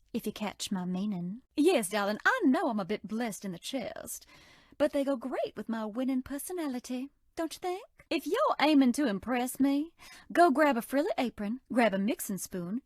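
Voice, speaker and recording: Flirty southern belle baker in a game, drawly and coy, bouncy highish voice, dry close mic. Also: female voice, no echo. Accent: American Southern. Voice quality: sultry drawl, coy and teasing. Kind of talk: video game character voice lines.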